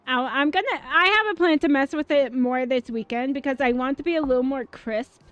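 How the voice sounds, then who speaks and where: Excitable high-voiced woman streamer. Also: a little fast, dry recording. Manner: excitable, swears freely, chats with viewers. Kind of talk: casual livestream chat over gameplay. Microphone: dynamic mic. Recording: dull mic with faint game music.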